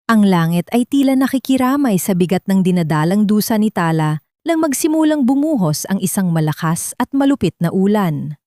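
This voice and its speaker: A woman tells a dramatic story as narrator. Her voice sits at a medium pitch, and the recording is dry and close. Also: lively intonation, moderate pace, mellow, dry recording.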